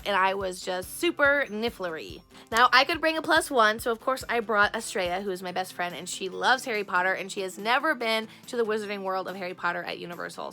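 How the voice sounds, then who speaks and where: A woman vlogger telling a story in a chatty way, gushing and excitable, her voice hoarse from losing it. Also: mid-range voice.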